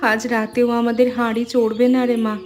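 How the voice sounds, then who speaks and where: A woman's voice: a little high, level tone, brisk, natural voice. A woman playing a weary, long-suffering poor village mother in a melodramatic cartoon, plaintive and tender in manner. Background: loud music.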